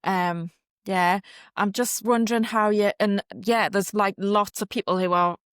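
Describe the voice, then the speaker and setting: A Geordie woman hosting a conversational interview. She rambles chattily, padding her questions with filler words, at an ordinary middle pitch in a dry, close recording. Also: fairly even intonation, unhurried, smooth, no echo. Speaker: interview host.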